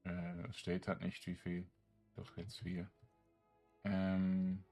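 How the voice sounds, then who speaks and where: A man giving casual game commentary, thinking aloud in a halting mutter as he plays. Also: low voice, monotone, gravelly, warm tone, husky, faint music behind. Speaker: gaming streamer.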